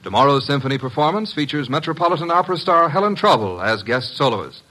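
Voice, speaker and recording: A man's voice: fairly high pitch, animated, fast talker, pushed and bright. A man announcing a 1950s radio show in a grand, theatrical, formal style. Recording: vintage 1950s radio recording. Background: music.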